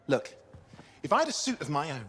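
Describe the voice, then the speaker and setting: A man playing an eager, cheeky young radio operator in a video game cutscene, talking in an excitable, chatty way. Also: fairly high pitch, fairly quick. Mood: animated, pleading.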